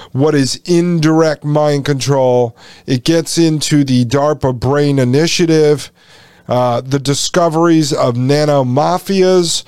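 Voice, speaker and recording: A man's voice: medium pitch, darkish tone, smooth, no echo, studio quality. A man hosting a solo podcast, chatty and addressing listeners as folks, while losing his voice. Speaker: podcast host.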